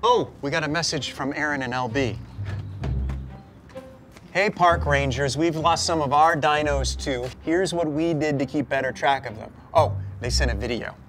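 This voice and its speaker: An actor in his forties playing a bumbling, excitable park ranger in a children's live-action show, recorded outdoors. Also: normal speed, natural voice. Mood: cheerful. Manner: goofy, excitable, lively pitch. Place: outdoors in dry woodland. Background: music.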